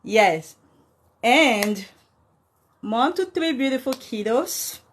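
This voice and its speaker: A woman's voice: a little high, expressive, extremely slow, slight background noise. A woman from Cameroon in her thirties, chatting casually to viewers about money and thanking them by name as she goes. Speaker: personal finance content creator. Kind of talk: casual livestream talk to viewers.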